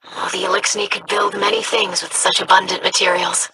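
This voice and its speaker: A woman performs a young alien scribe for a video game. She sounds bookish and idealistic, with formal, careful phrasing at a middling pitch. There is clear noise behind her. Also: level tone, fairly quick, close to an everyday voice. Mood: scholarly, fascinated. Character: earnest, scholarly young female alien scribe. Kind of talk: video game character dialogue.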